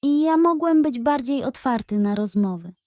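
Woman as a high-voiced, proud fairy-tale king. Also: lively intonation, normal speed, warm tone, no room sound. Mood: conciliatory. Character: proud warrior king of hearts with sword. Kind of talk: children's storybook character voice.

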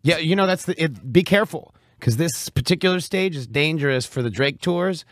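A man with a raspy voice hosting a casual radio interview in a joking, chatty way. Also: on the high side, animated, fairly quick, fairly bright tone, no echo, clean studio sound. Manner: joking, laughs often.

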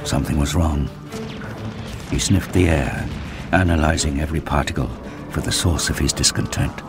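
A man giving dramatic, scripted narration of an action audio drama in a grave, measured storytelling manner. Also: extremely low pitch, fairly even intonation, over music.

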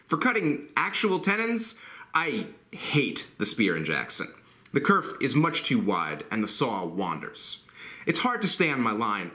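A man in his forties reviews hand saws straight to camera with blunt, joking enthusiasm and a bright, animated voice. His words ring a little in a roomy workshop, picked up by a camera mic at mid distance. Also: medium pitch. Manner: enthusiastic, blunt, jokey asides. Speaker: woodworking channel host. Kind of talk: scripted tool review to camera. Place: woodworking shop.

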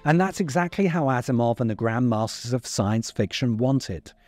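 A middle-aged man reads a scripted video essay into a close microphone. His voice is mellow and his pitch moves in a lively, emphatic way. Faint music plays behind him. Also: moderate pace, no room sound. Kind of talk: scripted narration, commentary. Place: open-sided covered outdoor space. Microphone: desk microphone close in front.

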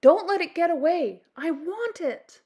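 Spoiled, high-voiced princess, acted by a woman. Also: lively intonation, a little slow, warm tone, full character voice. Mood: covetous. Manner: imperious, exclamatory. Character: spoiled, demanding young princess. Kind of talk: children's storybook read-aloud.

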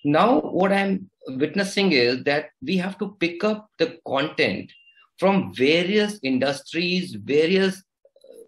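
A man's voice: a little high, expressive, takes it slowly, rough recording. A man, speaking as a panelist from a home study, laying out points in emphatic series. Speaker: panelist, HR head. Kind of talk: online panel discussion. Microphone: laptop video call.

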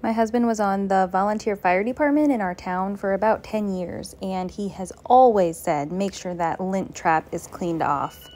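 Calm woman sharing home tips. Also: medium pitch, normal speed, hardly any pauses. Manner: calm, friendly, practical tips. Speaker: home organizing vlogger. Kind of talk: scripted voice-over narration.

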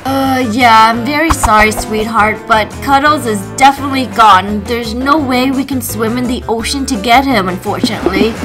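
A woman voicing a gentle, motherly young mom in a doll play story, soothing and a bit fretful. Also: on the high side, moderate pace, hardly any pauses, lightly acted. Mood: consoling. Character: kind pregnant young mom, gentle, budget-minded. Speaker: doll story narrator-voicer. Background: children's music.

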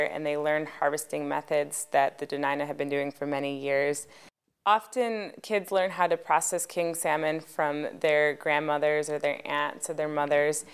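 Low, smooth-voiced woman explaining calmly. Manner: calm, explanatory. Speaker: subsistence resource specialist. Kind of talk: documentary interview voice-over.

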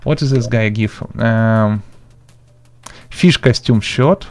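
A man, speaking in halting, simple step-by-step instructions as he hosts an informal video game tutorial. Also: mid-range voice, a little mellow. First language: not English. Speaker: gaming tutorial host. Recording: music behind the voice. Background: background music.

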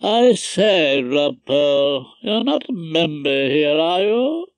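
A man's voice: fairly high pitch, big pitch swings, takes it slowly, no echo, heavily acted. A man putting on a pompous, drawling older judge. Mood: haughty. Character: pompous older male judge, condescending and blustering.

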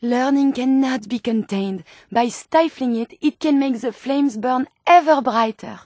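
Game companion: inquisitive female French robot scientist, higher register, formal, kindly, studio-dry. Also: measured pace, darkish tone, dry recording. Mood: calm, earnest. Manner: polite, formal, precise phrasing. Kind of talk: video game character dialogue.

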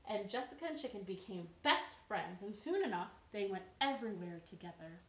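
A woman's voice: mid-range voice, expressive, a little fast. A young adult woman, a children's storyteller, giving a storytime for young children. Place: living room, indoors. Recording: roomy living-room sound.

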